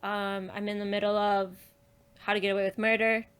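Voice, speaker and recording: Woman podcast guest, normal mid pitch, just chatting real casual and informal. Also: level tone, fairly quick, fairly bright tone, clear, resonant tone. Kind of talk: casual chat.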